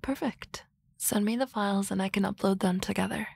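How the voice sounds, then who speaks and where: A woman voicing a sardonic, teasing office-lady boss in an ASMR roleplay, with dry banter and soft whispery asides on a close mic. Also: mid-range voice, a little fast, vocal fry, slightly rough, no room sound.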